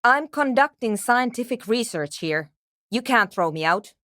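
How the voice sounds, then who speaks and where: A woman's voice: a little high, expressive, a little slow, a bit bright, no echo. An actress playing a brusque, nerdy woman programmer in a video game, with a dry, matter-of-fact, sardonic manner. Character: brusque, nerdy young woman programmer researching a radio anomaly. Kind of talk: video game character dialogue.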